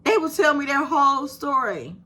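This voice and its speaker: A woman in her fifties with a soft voice, talking straight to her viewers in a casual, encouraging way and calling them "baby". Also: high voice, moderate pace. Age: 50s. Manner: animated, encouraging, talks to viewers with "baby" and "you hear me". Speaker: YouTube creator. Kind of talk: casual direct-to-camera talk.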